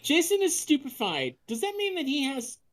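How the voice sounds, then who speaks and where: A woman's voice: high voice, big pitch swings, normal speed, noisy background. A woman co-hosting a podcast table read, bantering and reading in a wry, sweary, jokey manner. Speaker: podcast co-host reading a part. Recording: other people talking over.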